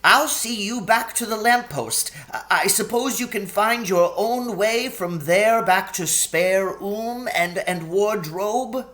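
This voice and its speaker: A man's voice: sits high, slow, few pauses, pushed and bright, voice pushed up, heavily acted. A man voicing a timid, kind male faun in a storybook, weepy and guilt-ridden, pleading in an anguished stammer. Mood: anxious, resolved.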